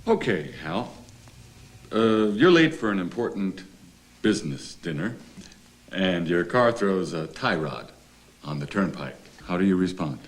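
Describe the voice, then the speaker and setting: An actor playing an unflappable group therapist in a comedy film, measured and clinical as he probes his patients. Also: sits low, sing-song. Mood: calm, probing.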